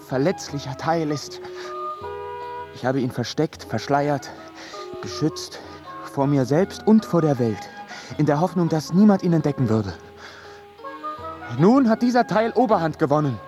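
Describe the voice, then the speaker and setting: An actor playing a deluded, fearful man who believes he is made of glass, speaking in halting, theatrical radio drama style with anguished repetitions. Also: fairly high pitch. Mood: anguished, confiding.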